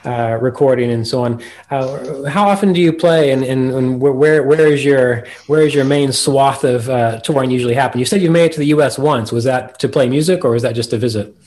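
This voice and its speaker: A man's voice: medium pitch, fairly quick, hardly any pauses, a little mellow. A man interviewing a guest casually, circling into his questions with repeated restarts. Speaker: interviewer, podcast host.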